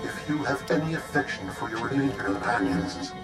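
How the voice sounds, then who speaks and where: An actor playing a cold, condescending AI caretaker in a video game, speaking in a formal, measured, haughty way. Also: male voice, mid-range voice, animated, lightly acted. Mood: stern contempt. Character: cold, condescending male artificial intelligence caretaker of a world. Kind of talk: video game cutscene acting. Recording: music behind the voice.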